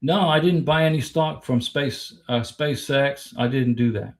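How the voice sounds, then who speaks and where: A man's voice: medium pitch, unhurried. An American man from Florida hosting a casual livestream, talking in a blunt, emphatic, opinionated way with rhetorical questions and replies to chat. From Florida, United States. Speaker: livestream host.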